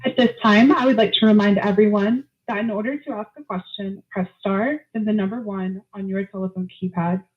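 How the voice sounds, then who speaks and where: A woman's voice: medium pitch, fairly even intonation, brisk, hardly any pauses, a little hiss or hum. A woman working as a conference call operator, reading scripted announcements in a formal, procedural manner. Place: telephone conference. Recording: narrow phone line audio.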